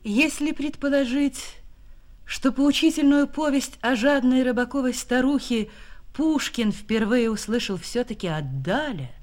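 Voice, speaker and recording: A woman reading scripted radio narration in a storytelling way, with deliberate phrasing. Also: sits high, expressive, darkish tone.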